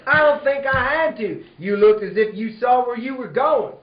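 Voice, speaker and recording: A man's voice: extremely high pitch, expressive, normal speed. A man playing a bold, cool-headed cowboy hero in a radio western, wry, folksy and unflappable. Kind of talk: 1940s radio western acting. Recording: roomy, dull lo-fi recording.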